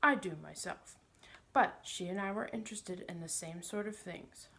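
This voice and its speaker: A woman's voice: fairly low pitch, moderate pace, creaky, fairly bright tone. A woman voicing a pompous, sly older male magician in a storybook, smug and condescending, with a wheedling manner. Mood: confiding.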